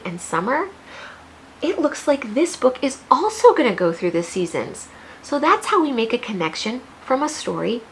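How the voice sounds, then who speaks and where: An American woman teacher reading a picture book aloud to young children, expressive, with questions and teaching asides. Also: fairly high pitch, slight background noise.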